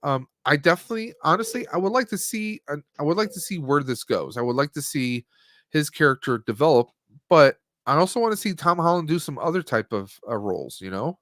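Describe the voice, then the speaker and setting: A man's voice: a little high, expressive, brisk, a bit bright, dry recording, studio quality. A man hosting a casual movie review stream, talking in a rambling, conversational way and often rephrasing himself. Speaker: podcast host.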